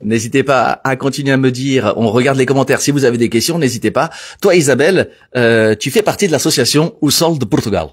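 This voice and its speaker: Husky-voiced man hosting a live show. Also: mid-range voice, fast talker, few pauses. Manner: relaxed, chatty, frequent fillers. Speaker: host of a live magazine show. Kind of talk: casual live broadcast chat.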